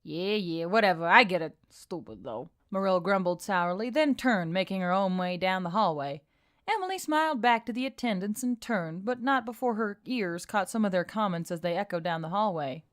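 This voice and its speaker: A woman's voice: mid-range voice, lively intonation, moderate pace. A woman reading scripted fiction as an audiobook narrator. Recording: music behind the voice. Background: music.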